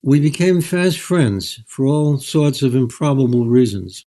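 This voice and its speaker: An older man telling stories as an author in an interview, in a measured, anecdotal way. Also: mid-range voice, expressive, warm tone. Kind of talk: storytelling in an interview.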